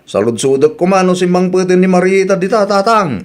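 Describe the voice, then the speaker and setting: An actor with an Ilocano accent playing a wronged, fed-up young husband in a radio drama. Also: male voice, high voice, animated, a little fast. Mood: polite, troubled. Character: wronged, fed-up young husband confronting his lying wife.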